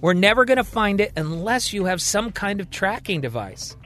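A man's voice: high-pitched, sing-song, normal speed, a bit bright, soft background music, voice pushed up. A man voicing a blunt, matter-of-fact armed man with a direct plan.

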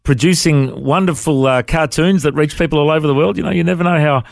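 A man hosts an interview and draws his guest out with encouraging questions. His voice sits a little high and sounds dry and close. Nothing else is heard around him. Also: quick pace, gravelly, dry recording. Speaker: podcast host. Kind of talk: interview hosting.

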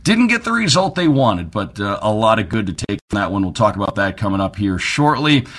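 A man's voice: fairly low pitch, sing-song, fairly quick, fairly bright tone. A man hosting a sports radio show in a chatty, conversational and emphatic way, mixing commentary with promotional reads. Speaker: sports radio show host and team broadcaster.

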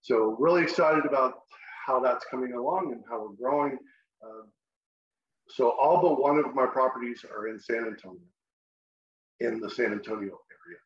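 A man's voice: medium pitch, roomy sound, dull audio. A middle-aged man with a Texan accent, a guest in a casual interview, friendly, often restarting his sentences. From Texas. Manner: friendly, halting with repeated restarts. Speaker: short-term rental host, guest.

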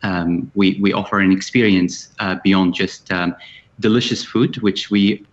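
A man who founded a cafe, speaking as a radio guest in a measured way with many fillers. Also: sits low, fairly even intonation, dull audio. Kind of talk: radio interview.

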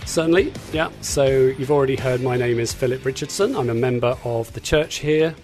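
A middle-aged man with an English accent, talking as a guest in a measured, articulate way with frequent fillers. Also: mid-range voice, a little mellow, faint music behind. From England. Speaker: guest, Bible teacher. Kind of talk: casual interview chat.

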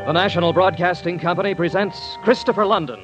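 A man's voice: high voice, fast talker, natural voice. An American man announcing a network radio drama in 1950s style. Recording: dull vintage radio, loud music.

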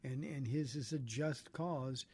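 A man's voice: mid-range voice, slow pace, darkish tone, husky. A man hosting a call-in radio show as a pastor, answering callers in an earnest, encouraging way and often restarting his sentences. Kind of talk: call-in radio Bible talk.

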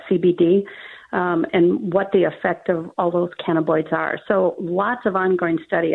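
A woman's voice: lowish voice, creaky. A woman veterinarian with a Minnesota accent, giving practical pet advice as a guest on a radio call-in show, with many ums and uhs. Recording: phone-line call.

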